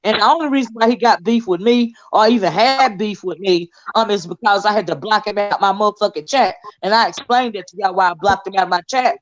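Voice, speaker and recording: A woman's voice: on the high side, dull audio. Blunt, cursing woman livestreamer. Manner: profane, blunt and combative, emphatic cursing. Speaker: livestream host. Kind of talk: heated livestream commentary.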